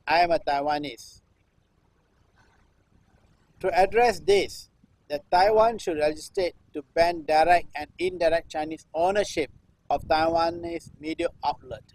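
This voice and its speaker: Higher-pitched older man, chant-like reciting. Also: a little mellow, rough recording. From Singapore. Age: 60s. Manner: repetitive, chant-like refrains with deliberate phrasing. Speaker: performance artist. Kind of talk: live performance recitation of a political text.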